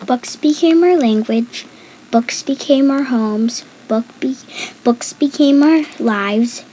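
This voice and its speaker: A woman reading a picture book aloud in deliberate, separate phrases. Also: high voice, dark tone, poor audio. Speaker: storybook reader. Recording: background noise.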